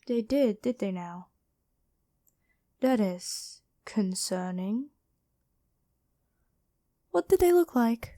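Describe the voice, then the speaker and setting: Gentle, mellow angel healer played by a woman. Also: fairly high pitch, big pitch swings, very slow, pauses often, heavily acted. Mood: earnest, disapproving. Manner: gentle, soothing speaking to one listener. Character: gentle, dutiful angel, former human medic, caring. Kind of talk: ASMR roleplay.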